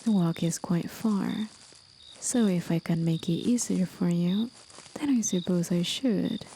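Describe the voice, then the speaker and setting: A gentle old demon of the forest, played by a woman, murmurs in a very slow, intimate half-whisper. The voice is dark and soft with a creaky edge, soothing and a little mysterious, in the manner of an ASMR roleplay. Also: fairly low pitch, a little hiss or hum. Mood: soft, coy.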